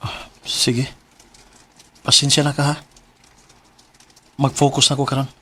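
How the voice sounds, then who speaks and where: A man's voice: mid-range voice, completely flat delivery, unhurried, very noisy, lightly acted. An actor with a Cebuano Filipino accent playing a devoted, emotive young male student in a radio drama. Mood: humble, grateful. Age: young adult. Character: devoted young male student, working to help his ailing mother.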